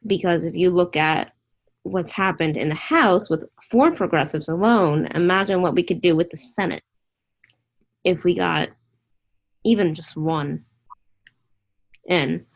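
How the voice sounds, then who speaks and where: A woman's voice: fairly low pitch, unhurried, creaky, long pauses, lo-fi sound. A woman hosting a casual online interview, chatty and opinionated, rambling with restarts.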